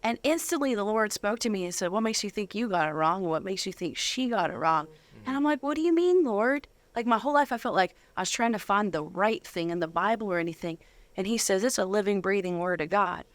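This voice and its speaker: A woman's voice: medium pitch, animated, a little fast, no room sound. A middle-aged woman hosting a conversational faith interview in an effusive, affirming manner. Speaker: interview host.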